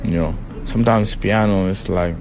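A man's voice: deep voice, normal speed, background noise. A man in his twenties from South Sudan whose first language is Acholi, speaking casually and thoughtfully, often repeating words as he searches for them. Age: 20s. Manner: relaxed, reflective, repeats words while searching. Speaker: beauty school student. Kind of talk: casual interview talk. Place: beauty school and home.

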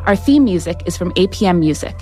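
A woman who is a senior editor and podcast host, reading scripted credits in a brisk, even manner. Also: on the low side, faint music behind.

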